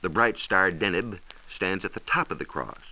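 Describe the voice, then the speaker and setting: An American man explaining science in a measured, precise, didactic way, in a scripted 1940s radio style. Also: deep voice, sharp tone, poor audio. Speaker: science reporter explaining astronomy. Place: radio studio. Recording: hissy 1940s radio transcription disc.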